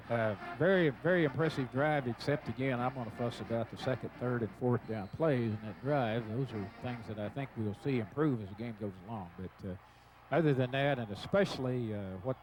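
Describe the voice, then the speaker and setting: A man's voice: mid-range voice, brisk. Conversational man commentating over stadium noise. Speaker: radio color commentator, addressed as coach. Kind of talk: unscripted football color commentary. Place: stadium broadcast booth. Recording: noisy stadium radio broadcast tape. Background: outdoor stadium noise.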